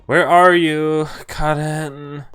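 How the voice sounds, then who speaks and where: A man's voice: on the high side, flat delivery, drawn-out words, a bit bright, clean tone, soft background music, pitched above the performer's own voice. Visual novel protagonist read by a man: regretful young man in love, earnest, emphatic, clean tone. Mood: urgent, searching. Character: anguished, lovelorn young man full of regret. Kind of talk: dramatic read-aloud of visual novel narration.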